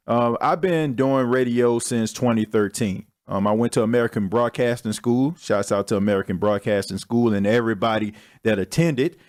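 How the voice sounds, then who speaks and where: A young man hosts his own podcast alone, chatting loosely and candidly. He keeps it slangy and pokes fun at himself. His voice sits at an average pitch for a man. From Texas. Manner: candid, slangy, self-mocking. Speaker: podcast host. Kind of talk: casual solo podcast monologue.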